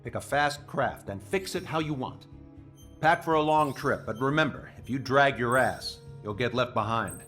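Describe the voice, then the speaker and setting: Guy acting as game fighter squadron leader, decisive, mid pitched, bit of music under it. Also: normal speed, fairly bright tone, lightly acted. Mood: decisive, commanding. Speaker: squadron leader giving a briefing. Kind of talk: video game cutscene acting.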